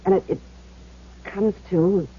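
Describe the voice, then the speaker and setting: An actress playing a weary, soft-hearted gangster's moll, husky and hesitant, in radio drama style. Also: female voice, medium pitch, fairly even intonation, slow. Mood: pleading. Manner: hesitant, pleading, slangy. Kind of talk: 1970s radio drama acting. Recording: dull old radio broadcast.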